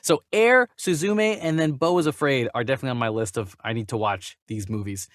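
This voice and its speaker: A man's voice: mid-range voice, brisk, fairly bright tone, no echo, studio quality. A man hosting a casual film podcast, chatting in an informal, joking way. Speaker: podcast host.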